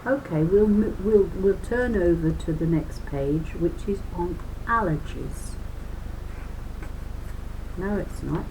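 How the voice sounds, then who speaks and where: A woman's voice: on the low side, slow pace, pauses often, dark tone, a little hiss or hum, low-quality recording. A woman reading a children's book aloud as a lesson, explaining as she goes with chatty asides and stressing key words.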